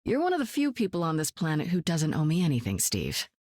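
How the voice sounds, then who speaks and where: A seasoned woman superspy with a cool, wry, self-assured delivery. Her voice sits a little low and is recorded close and dry, with no room around it. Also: wildly swooping pitch, quick pace, darkish tone, no room sound, full character voice. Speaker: game hero. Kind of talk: video game voice lines.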